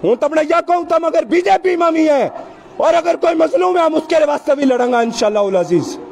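A man, giving an impassioned political speech into podium microphones on an outdoor stage and shouting rhetorical questions. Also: extremely high pitch, lively intonation, brisk, gravelly. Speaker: political rally speaker. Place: outdoor tented stage at a rally. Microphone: several podium microphones.

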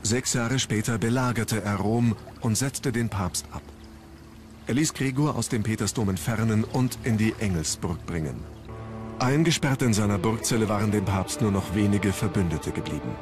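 A man reading scripted historical documentary narration in a measured, formal storytelling manner. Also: on the low side. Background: background music.

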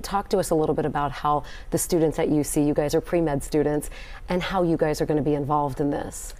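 A woman's voice: deep voice, fairly even intonation, fairly quick, a little mellow. A middle-aged woman anchoring a TV news segment in a studio on a lapel mic, upbeat and emphatic as she interviews guests.

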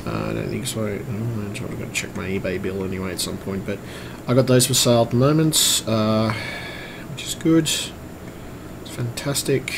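A man with a croaky, strained voice, giving a casual, hesitant, rambling update like a vlogger. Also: on the low side, steady tone, normal speed. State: strained voice, throat-clearing. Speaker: vlogger and computer repairer. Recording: clearly noisy room recording.

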